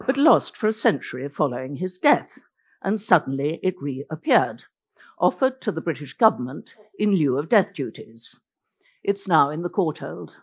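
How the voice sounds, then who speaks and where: A woman's voice: sits low, husky. A woman whose first language is Norwegian, giving an illustrated lecture as a biographer, telling the story vividly with wry asides.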